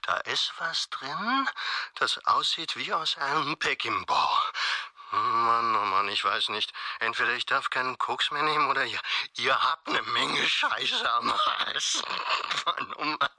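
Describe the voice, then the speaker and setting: An actor playing a jittery, foul-mouthed drugged caller in a German radio crime drama, rambling, slangy and rattled. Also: male voice, medium pitch, very animated, a little slow, bright, forceful tone, dry recording, heavily acted. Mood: rattled, dazed.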